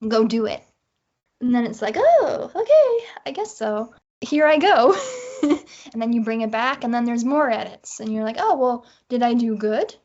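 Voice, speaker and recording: A woman with a fairly high voice talks casually as a writer being interviewed. She is self-deprecating and voices her own thoughts in quoted asides. The recording is slightly dull and lo-fi. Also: animated, normal speed, darkish tone. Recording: dull, lo-fi audio.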